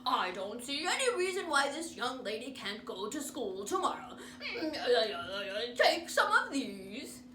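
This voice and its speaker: A woman's voice: sits high, big pitch swings, unhurried, hardly any pauses, a crowd in the background. A woman voicing a fussy, pompous male doctor from a children's storybook, hemming and hawing in an exaggerated way. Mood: bumbling, jolly. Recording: roomy, distant mic, noisy background.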